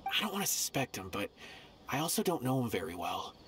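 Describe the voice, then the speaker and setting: A man voicing a calm, thoughtful young man in a game, speaking in a reasoned way. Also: mid-range voice, a little fast, a little hiss or hum. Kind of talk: game voice acting.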